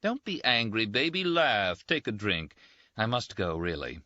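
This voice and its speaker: A man voicing a brash, teasing young Italian army surgeon in an audiobook, quick and boastful. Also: fairly low pitch, big pitch swings, pushed and bright, no echo, highs cut off, voice pushed up, exaggerated voice. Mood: affectionate. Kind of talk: audiobook character voice.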